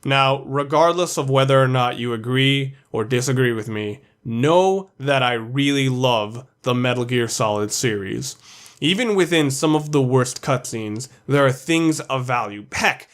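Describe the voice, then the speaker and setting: A man's voice: medium pitch, unhurried. A man narrating an opinionated video essay as its host, in an informal way with some swearing.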